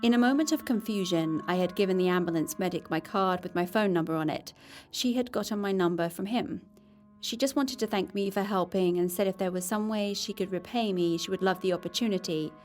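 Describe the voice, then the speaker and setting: A woman's voice: mid-range voice, fairly quick, darkish tone, soft background music, close to an everyday voice. A woman playing a curious, candid podcast reporter in an audio drama, conversational and dryly observant. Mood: matter-of-fact, wry. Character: curious, candid female podcast reporter narrating her investigation. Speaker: reporter and narrator. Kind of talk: scripted first-person podcast narration.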